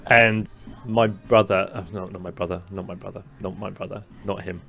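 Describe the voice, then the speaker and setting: A young man with a mild stutter who co-hosts a podcast, telling a personal story in casual chat with many fillers and halting restarts. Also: low-pitched, steady tone, creaky, bright, forceful tone. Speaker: podcast co-host.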